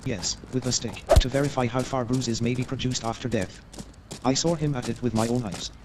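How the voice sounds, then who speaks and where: An actor playing a courteous, gossipy Victorian gentleman in a staged radio play, formal and measured in his hesitant explanations. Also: mid-range voice, steady tone, background noise, lightly acted. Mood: uneasy, explanatory.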